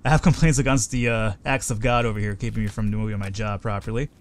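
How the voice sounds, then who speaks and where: A man casually commenting on his strategy game as he plays, talking to his units and swearing now and then, his voice a little hoarse. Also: lowish voice, steady tone, fast talker, a little hiss or hum. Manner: chatty, swears when frustrated. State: slightly hoarse. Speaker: let's play host.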